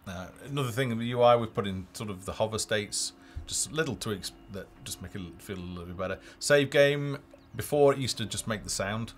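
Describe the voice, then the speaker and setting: A man giving casual, low-key live commentary as a game developer, explaining features as he plays. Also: fairly quick, few pauses. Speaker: game developer presenting a livestream. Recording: music behind the voice.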